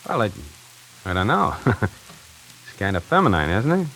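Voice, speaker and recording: A man's voice: fairly low pitch, very animated, moderate pace, sharp tone, slight background noise, full character voice, poor audio. An actor playing a streetwise, nervous young tie salesman in a 1940s radio play, tough-talking and slangy, on an old radio recording. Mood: wary. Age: young adult. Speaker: lead actor narrating.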